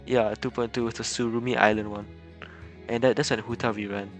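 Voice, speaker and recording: A man with a fairly low voice talks casually to his game stream viewers. He repeats words as he stumbles into sentences and often chuckles between remarks. Soft music plays underneath. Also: flat delivery, fairly quick. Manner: stammering, repeats words and phrases. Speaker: game livestreamer. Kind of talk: casual livestream chat with viewers.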